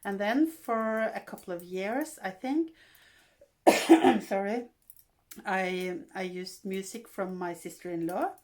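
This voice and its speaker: A Norwegian woman in her sixties hosts her own vlog, speaking English as a second language with a clear Norwegian accent. She talks slowly, at a mid pitch, stopping often with fillers and restarting her sentences. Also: darkish tone. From Norway. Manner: unhurried, halting, with frequent fillers and restarts. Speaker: vlog host. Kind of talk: casual vlog talk.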